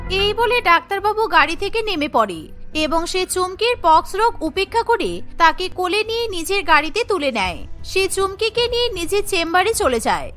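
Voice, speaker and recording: A woman's voice: extremely high pitch, big pitch swings, normal speed, fairly bright tone, soft background music. A woman narrating a scripted cartoon story in a dramatic storytelling manner.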